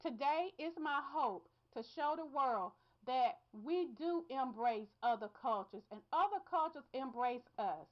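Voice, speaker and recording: Southern woman, radio host, high voice, earnest and measured; muffled outdoor field recording. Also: lively intonation. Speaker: radio show host reporting. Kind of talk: reflective spoken commentary. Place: outdoors in a large crowd.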